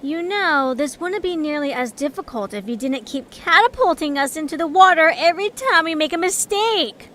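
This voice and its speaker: A high-voiced, pragmatic young female creature acted by a woman in an audio story. She is blunt, impatient and dryly sardonic. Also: expressive, moderate pace, hardly any pauses, fairly bright tone, slight background noise. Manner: dry, sardonic.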